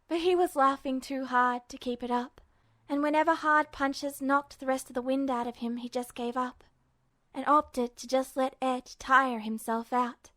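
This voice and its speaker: A woman reading fiction aloud as an expressive, scripted audiobook narration. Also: high-pitched, moderate pace, dry recording, clean studio sound. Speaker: fan fiction audiobook reader.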